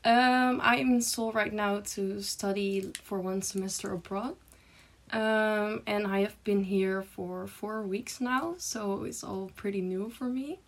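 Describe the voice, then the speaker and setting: A woman's voice: medium pitch, fairly even intonation, unhurried. A twenty-year-old woman with a Dutch accent, introducing herself in a bubbly way with frequent ums. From Netherlands. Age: 20s. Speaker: exchange student. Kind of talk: casual self-introduction.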